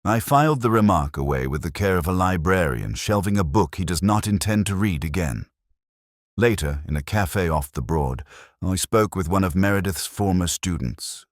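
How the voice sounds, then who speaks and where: Very deep-voiced man narrating detective fiction. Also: no room sound, clean studio sound. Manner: literary, measured, reflective. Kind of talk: scripted first-person detective story narration.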